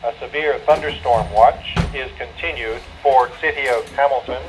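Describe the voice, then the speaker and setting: A man's voice: mid-range voice, normal speed. A man's synthetic announcer voice giving a formal, stilted reading of a scripted weather bulletin over weather radio. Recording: dull, noisy weather radio reception.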